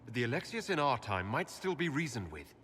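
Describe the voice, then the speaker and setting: A man's voice: mid-range voice, big pitch swings, fairly quick, fairly bright tone, a little hiss or hum. A man voicing a stern, earnest hero in a fantasy game, speaking firmly and directly. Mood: reasoning. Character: stern, earnest male hero leading the party. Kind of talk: dramatic fantasy game acting. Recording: hall reverb, music behind.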